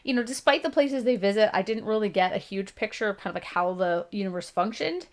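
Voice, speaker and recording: Canadian woman, 30s, book-review host; gravelly, chatty, self-mocking, mid-pitched. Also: expressive, brisk. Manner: chatty and self-deprecating, with frequent laughs and restarts. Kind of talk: casual spoken book review.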